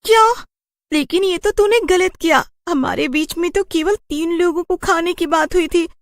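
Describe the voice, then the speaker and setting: A man voicing a very high, shrill village witch. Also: normal speed, fairly bright tone, no echo, clean studio sound. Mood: dismayed. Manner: shrill, exclaiming, questioning. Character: village witch, loud, astonished and gullible woman. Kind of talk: cartoon horror story acting.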